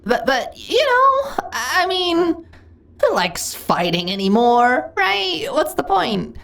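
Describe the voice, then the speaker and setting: Slime monster girl, female actor: lonely, hungry, easily flustered; very animated pitch, slow delivery, fantasy audio drama. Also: vocal fry, exaggerated voice. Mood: nervous, pleading. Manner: theatrical, giggly, stammering when flustered. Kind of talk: fantasy audio roleplay addressed to the listener.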